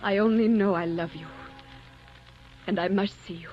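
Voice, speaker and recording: An actress playing a passionate, wistful young baroness in love in an old-time radio romance, on a muffled broadcast with faint music. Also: female voice, medium pitch, steady tone, normal speed, close to an everyday voice. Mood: anguished devotion. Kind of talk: 1940s radio romance acting.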